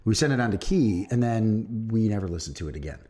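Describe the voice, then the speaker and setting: A man's voice: lowish voice, animated, fast talker, dark tone. A man co-hosting a podcast in casual, unscripted chat, with an irreverent manner and free swearing. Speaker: podcast co-host.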